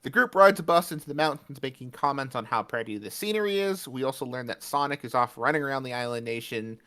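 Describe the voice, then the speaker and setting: A man's voice: medium pitch, animated, quick pace, sharp tone, smooth. A man co-hosting a podcast, recapping an episode in a chatty, jokey way. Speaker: podcast co-host.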